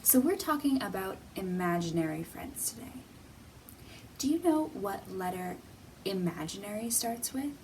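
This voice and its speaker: A woman hosting a children's storytime, speaking in simple, deliberate, teacherly phrases with pauses and questions for young viewers. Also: medium pitch, animated, recorded from a distance, noisy background. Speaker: children's library storytime host.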